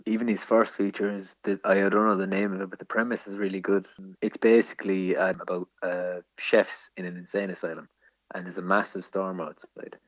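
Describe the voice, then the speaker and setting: A man's voice: sits low, little pitch movement, brisk, no echo, lo-fi sound. A man co-hosting a podcast, chatting casually about films. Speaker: podcast co-host. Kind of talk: casual film chat.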